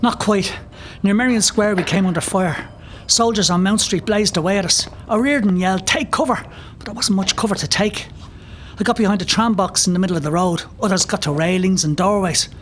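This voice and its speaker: Young volunteer fighter, male actor: earnest, high-pitched, audio play over clearly noisy rumble. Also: brisk, a bit bright. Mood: urgent. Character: earnest young rebel volunteer, dutiful under fire.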